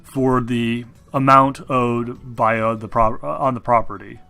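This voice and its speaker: A man running a tabletop game, talking casually and haltingly with some swearing as he improvises the narration. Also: medium pitch, fairly even intonation, vocal fry, sharp tone. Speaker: game master. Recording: music behind the voice. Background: background music.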